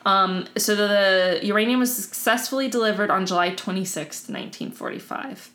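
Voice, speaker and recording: A woman co-hosting a casual podcast, relaxed and chatty as she walks her co-host through a historical account. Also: mid-range voice, normal speed, slight background noise. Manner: relaxed storytelling, frequent "um" and "like".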